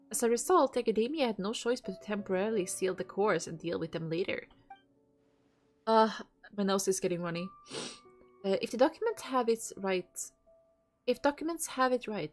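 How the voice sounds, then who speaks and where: A woman with a stuffy nose streaming a game, reading its dialogue aloud and chatting casually with viewers, with the odd curse thrown in. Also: medium pitch. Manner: reads lines aloud, swears and laughs between.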